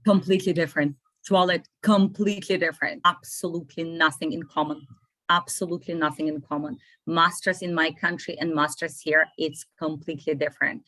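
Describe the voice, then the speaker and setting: Lady in her 40s, pretty low voice, animated, keeps repeating stuff for emphasis, dry close sound. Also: fairly quick, dry recording. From Ukraine. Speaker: immigration consultant, guest. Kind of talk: casual interview talk.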